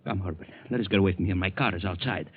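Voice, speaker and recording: A man's voice: sits low, racing, breathy edge. A man playing a chatty, money-loving Latin smuggler in 1940s radio drama style. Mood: jovial. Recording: scratchy, phone-like old radio recording.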